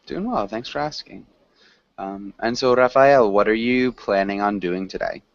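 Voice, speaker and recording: A man with a slightly low voice teaches English to a group online. He speaks in an encouraging, conversational way as he guides learners. The audio is dull and lo-fi, like a video call. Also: moderate pace, gravelly, no room sound.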